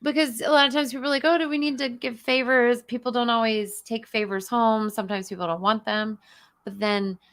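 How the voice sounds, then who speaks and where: A woman, hoarse and tired, chatting casually as a guest, often trailing off mid-sentence. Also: fairly high pitch, fairly even intonation, brisk, a bit bright. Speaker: guest, host's new wife. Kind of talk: casual chat.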